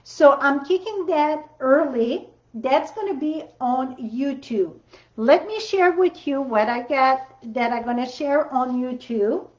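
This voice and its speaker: Brief: woman host with a French accent, high and unhurried, chatty livestream demo, roomy home craft-room sound. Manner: chatty, rambling, loose non-native grammar. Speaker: card-making livestream host. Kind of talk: casual live demo chat. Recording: roomy, slightly dull sound.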